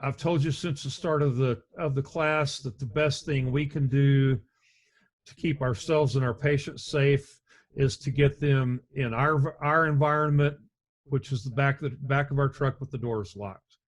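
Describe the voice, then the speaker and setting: A middle-aged man with a deep voice teaching an EMS lecture over a video call, speaking in a measured, conversational way with frequent restarts. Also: steady tone. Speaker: EMS instructor. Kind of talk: recorded slide lecture. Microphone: webcam and computer mic on a video call.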